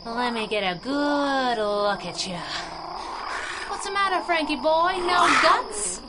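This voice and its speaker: An actress playing a plucky, flirtatious masked sidekick, wisecracking in a 1930s-style adventure radio drama. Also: female voice, high-pitched, expressive, slow, very noisy. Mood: taunting. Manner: wisecracking, saucy.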